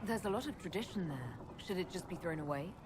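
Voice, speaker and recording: A woman voicing a composed, wry and diplomatic elf hero in a video game, measured and gently teasing. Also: lowish voice, expressive, noisy background, natural voice. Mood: curious, wry. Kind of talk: video game character acting.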